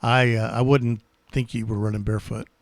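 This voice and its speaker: A man hosting casual amateur radio contacts, talking in a friendly, halting way. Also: fairly low pitch, little pitch movement, no room sound. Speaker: amateur radio operator and channel host.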